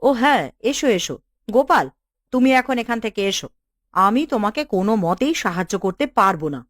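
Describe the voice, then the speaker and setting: Woman playing a bossy, animated cartoon official. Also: mid-range voice, normal speed, no echo, clean studio sound, lightly acted. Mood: impatient, dismissive. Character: bossy, scheming female government official, impatient and greedy. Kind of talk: Bengali cartoon dialogue.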